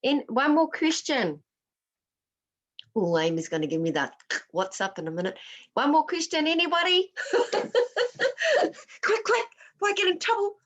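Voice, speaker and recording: A woman's voice: sits high, very animated, normal speed, long pauses, fairly bright tone. A woman who grew up in Australia, leading a workshop and answering questions on a video call, speaking in a reflective, personal way with Māori words mixed into her English. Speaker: workshop facilitator.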